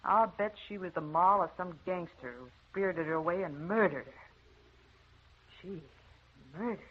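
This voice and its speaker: An actress playing a wide-eyed, slangy gossip in an old radio drama, folksy and excitable. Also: female voice, fairly low pitch, moderate pace, husky. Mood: excited gossip. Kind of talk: 1940s radio drama acting. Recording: muffled old radio recording.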